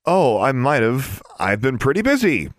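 An uneasy teenage boy, as a narrator puts him on, answering in short, evasive replies, pitched a little higher than the reader's own voice. The storybook reading sounds dry and close. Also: very animated, normal speed, dry recording, close to an everyday voice. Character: awkward, embarrassed teenage boy.

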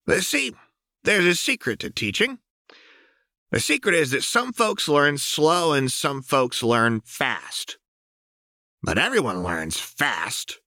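A man's voice: fairly high pitch, sing-song, slow pace, bright, forceful tone, dry recording, clean studio sound, voice pushed up, full character voice. A man voicing a manic, gleefully violent young woman crime baron in an audiobook, with a brash, taunting delivery. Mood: menacing glee.

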